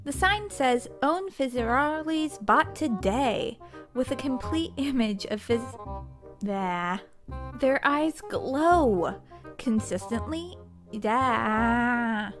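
Female video narrator, high register, unhurried scripted read, faint music bed. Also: expressive. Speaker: video narrator presenting episode facts. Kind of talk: scripted narration with flubbed outtakes.

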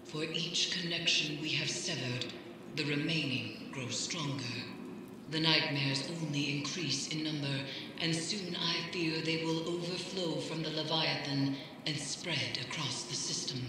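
A woman playing a grave, foreboding mystic in a video game, giving a measured, ceremonial briefing. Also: low voice. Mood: grave, resolute. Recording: cavernous reverb, noisy ambience.

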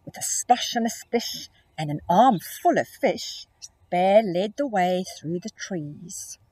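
A middle-aged woman teacher reads a picture book aloud to young children, with a lively, sing-song rise and fall. Her voice is soft and breathy, recorded close up on a phone outdoors. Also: medium pitch, takes it slowly, no echo, low-quality recording. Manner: expressive, storytelling. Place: outdoors in a grassy field.

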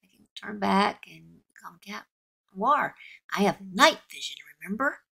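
Woman acting a husky, hesitant cartoon teen. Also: medium pitch, big pitch swings, a little slow, pushed and bright, heavily acted. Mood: teasing. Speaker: amateur voice performer. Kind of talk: fan-made cartoon audio drama acting.

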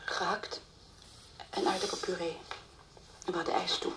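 An actress playing a dazed, grieving young mother in a radio drama, answering haltingly and numbly. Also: lowish voice, level tone, lightly acted. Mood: numb, distraught. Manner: halting, hesitant, clears her throat. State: distraught, numb. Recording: distant mic, faint background noise.

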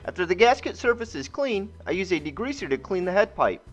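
A man's voice: high voice, very animated, fairly quick. A man giving scripted how-to voice-over narration as a DIY host, in a muffled recording. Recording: muffled, band-limited voice-over with music. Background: music.

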